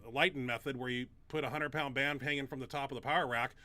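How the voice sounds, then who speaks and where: A man's voice: medium pitch, fairly even intonation, fast, bright, forceful tone. A middle-aged man hosting a casual Q&A chat, rambling with many fillers and restarts, his nose stuffed up. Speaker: show host and powerlifter.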